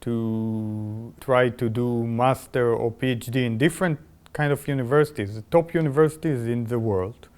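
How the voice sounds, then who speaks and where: Male academic, Israeli accent, mid-pitched, enthusiastic podcast conversation with non-native phrasing. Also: moderate pace, darkish tone, smooth. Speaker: biotechnology professor.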